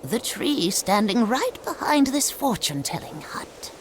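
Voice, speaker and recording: An actress voicing a cryptic, solemn old woman fortune-teller in a game cutscene, speaking in a hushed, measured way. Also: on the high side, big pitch swings, noisy background. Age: older. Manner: slow, measured, mysterious. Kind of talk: video game cutscene dubbing.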